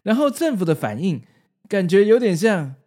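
A man's voice: high voice, very animated, moderate pace, darkish tone. A man with a Taiwanese Mandarin accent, hosting a podcast with narration and casual commentary. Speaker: podcast host.

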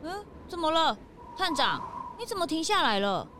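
High-pitched, whiny, excitable young sidekick. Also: female voice, normal speed, noisy background. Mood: dismayed, whiny. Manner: childlike, chatty, dramatic, easily panicked. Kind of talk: children's audio drama acting. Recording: music behind the voice.

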